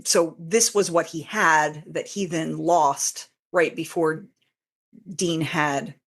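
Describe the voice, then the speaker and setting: A woman's voice: low voice, fairly even intonation, slow. A woman talking casually as an interview guest, explaining in detail in an informal, rambling way and often interrupting herself. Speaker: interview guest, fan fiction author. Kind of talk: casual, detailed explanation of own writing process.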